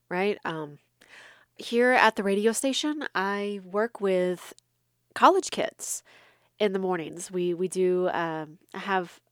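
A woman's voice: medium pitch, dry recording. Woman radio host, dry studio sound, thoughtful, searching for words. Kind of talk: morning radio interview.

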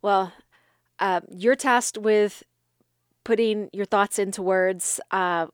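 A woman's voice: mid-range voice, fairly even intonation, slow pace, clean tone, dry recording, studio quality. A woman hosting a morning radio interview, speaking thoughtfully and searching for words. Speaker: radio host.

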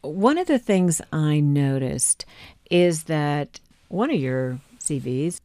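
A woman with a low-pitched voice hosts a broadcast interview program. She moves between scripted introductions and questions for a guest. The sound is dry, with very little room in it. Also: animated, slow, mellow, no room sound. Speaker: news magazine host and interviewer.

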